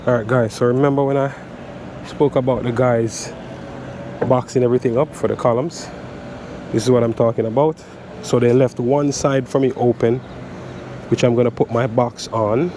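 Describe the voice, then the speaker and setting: A man's voice: mid-range voice, normal speed, pauses often. A man in his thirties with a stuffy cold, casually explaining electrical prep work step by step, recorded outdoors on a sunny dock with a chest-mounted camera. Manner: relaxed, repeats "so" and "guys" often, explains step by step. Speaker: electrician vlogger. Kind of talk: casual how-to vlog. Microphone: chest-mounted action camera. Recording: outdoor noise with traffic and air conditioning.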